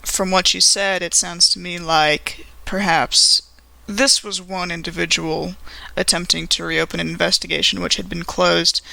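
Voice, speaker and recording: A woman playing a tabletop game in character, speaking formally and with measured, precise wording. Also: lowish voice, little pitch movement, creaky, bright, forceful tone, no room sound, rough recording.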